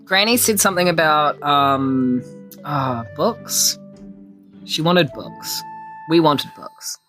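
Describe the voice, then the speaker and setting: Kiwi woman, very deep voice, playful rambling tabletop player, casual improv over a light music bed. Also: slow, a bit bright. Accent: New Zealand. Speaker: player in a tabletop role-playing podcast. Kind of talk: casual improvised role-play chat.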